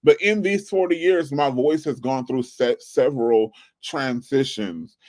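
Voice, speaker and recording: A man's voice: medium pitch, unhurried, darkish tone. A man in his forties hosting his own channel, giving casual, earnest advice with a preachy, repetitive coaching tone. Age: 40s. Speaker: channel host. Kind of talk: casual livestream advice talk.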